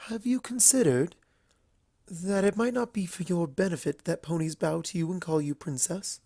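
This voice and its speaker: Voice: mid-range voice, moderate pace, gravelly, mellow, no room sound, studio quality, voice pushed up. A performer voicing a refined, courteous, slightly anxious female unicorn pony in a storybook reading, with polite, careful phrasing. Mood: earnest, hesitant.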